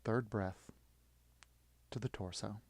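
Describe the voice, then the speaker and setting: A man leading a guided yoga nidra meditation in short, soothing, encouraging phrases with long pauses. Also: fairly low pitch, fairly even intonation, a little mellow, no echo.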